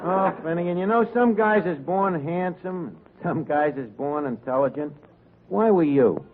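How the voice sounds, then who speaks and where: A man's voice: a little high, unhurried, crowd noise, natural voice. A man playing a wisecracking tavern manager who mangles words in slangy, sardonic 1940s radio comedy. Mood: wry, needling. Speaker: comedy lead. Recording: tinny vintage radio, noisy studio audience.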